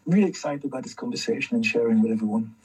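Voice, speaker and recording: A man's voice: medium pitch, racing, darkish tone, slightly rough, recorded from a distance, poor audio. A man giving a casual introduction as a strategist speaking on a panel.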